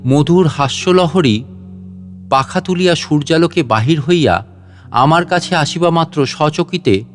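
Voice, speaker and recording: A man narrating a classic literary story in a slow, solemn, reflective reading voice. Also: on the high side, animated. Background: soft guitar music.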